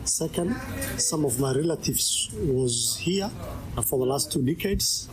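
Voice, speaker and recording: Halting man from Somalia, crowd behind. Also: a little high, animated, rough recording. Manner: halting, many pauses and fillers. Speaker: interview guest. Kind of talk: conversational interview answers.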